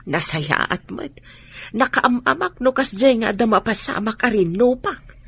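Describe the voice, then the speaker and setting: A woman's voice: fairly high pitch, animated, measured pace, creaky, a bit bright, slightly rough, exaggerated voice. An actress with a Filipino accent playing a stern, worried mother in a radio drama. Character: stern, worried mother questioning her daughter.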